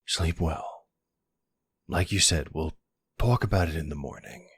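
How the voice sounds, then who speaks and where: A man playing a gentle, worried boyfriend in an intimate roleplay audio drama, soothing and reassuring with a hesitant delivery. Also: animated, unhurried, no echo, heavily acted. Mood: tender, apologetic. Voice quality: low, husky voice.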